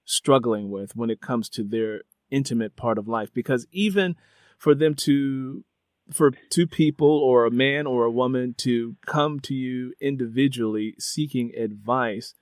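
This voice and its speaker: A man acts as interviewer in a conversational style. His phrasing is halting and reflective, circling back on itself. The sound is dry, with a middling pitch. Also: no room sound. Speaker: interviewer, host. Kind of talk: conversational interview, thoughtful questions.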